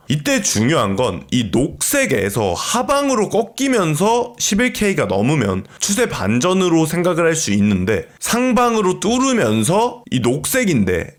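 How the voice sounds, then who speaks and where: A man narrating an instructional tutorial as its host, explaining step by step and often checking points with rhetorical questions. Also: fairly high pitch. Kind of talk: instructional screen-share narration.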